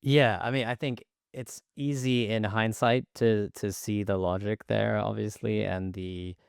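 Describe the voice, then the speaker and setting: A man's voice: fairly low pitch, fairly even intonation, measured pace, gravelly, clear, resonant tone, no room sound, clean studio sound. A man hosting a podcast, responding conversationally to his guest. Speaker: podcast host.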